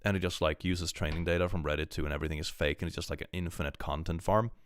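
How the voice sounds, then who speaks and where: A young man streaming live with a powerful voice, reading posts aloud and chatting casually, often jumping into excited exclamations. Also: quick pace, clear, resonant tone, dry recording, clean studio sound. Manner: animated, jumps into high excited exclamations.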